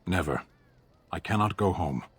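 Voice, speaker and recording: An actor playing a stoic, terse foreign warrior giant in a fantasy game, deadpan, curt and formal. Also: male voice, sits low, moderate pace, breathy edge. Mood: curt, solemn.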